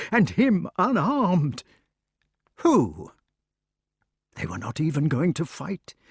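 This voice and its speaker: A man's voice: sits high, breathy edge, no room sound, studio quality, pitched above the performer's own voice, heavily acted. A man voicing an older king, earnest and kindly, with a worried edge. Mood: shaken.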